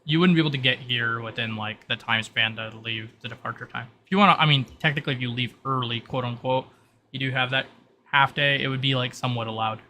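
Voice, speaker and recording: Bright-voiced man running a game over noise. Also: medium pitch, fast. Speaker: game master. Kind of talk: narrating a tabletop game, in and out of character. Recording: noisy mic with keyboard clatter.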